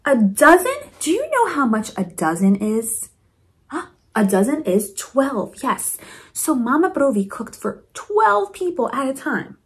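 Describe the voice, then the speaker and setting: A woman reading a storybook aloud to children in an expressive, encouraging way, with teaching asides. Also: high-pitched, unhurried. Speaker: principal reading a picture book to children.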